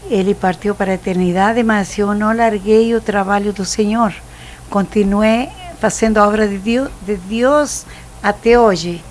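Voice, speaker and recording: Slow elderly Chilean-accented woman, halting. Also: medium pitch, steady tone, slight background noise. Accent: Chilean Spanish. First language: Spanish. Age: 70s. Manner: halting, searching for words with frequent "eh" and Spanish slips. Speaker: missionary guest. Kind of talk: preaching testimony.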